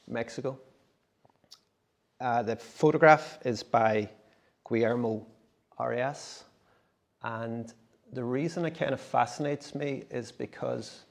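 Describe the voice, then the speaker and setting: A man's voice: mid-range voice, vocal fry. A man, a writer giving a conversational talk at a symposium. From Derry, Northern Ireland. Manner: conversational, explanatory, occasional restarts. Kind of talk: casual presentation. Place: symposium talk in a gallery space.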